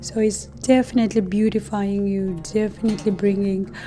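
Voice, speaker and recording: A woman hosting an astrology talk to her viewers, hoarse and losing her voice, speaking casually with many fillers and soft laughs. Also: medium pitch. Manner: slow, relaxed, many fillers and light laughs. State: losing her voice, deeper than usual. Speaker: astrology channel host.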